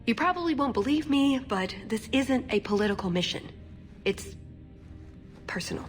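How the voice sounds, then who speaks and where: A woman's voice: a little high, animated, normal speed, soft background music, close to an everyday voice. A woman playing an earnest, idealistic young female elf agent in a fantasy video game, sincere and hesitant. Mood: regretful, earnest. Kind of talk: video game cutscene acting.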